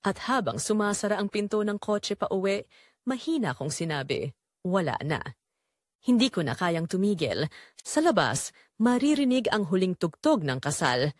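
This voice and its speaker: A woman narrating as a guilt-ridden, secretly longing mother in a scripted audio drama, with a measured, confessional delivery. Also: medium pitch, no echo, clean studio sound. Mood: longing, resolved.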